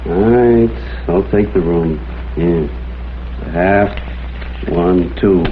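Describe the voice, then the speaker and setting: A man's voice: low-pitched, drawn-out words, slight background noise. A man with an American accent playing a tough, dogged detective in a vintage noir drama, speaking and narrating in a terse, hard-boiled way. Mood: probing. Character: tough, dogged male photographer detective, wry and stubborn. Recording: thin, tinny vintage broadcast, music behind.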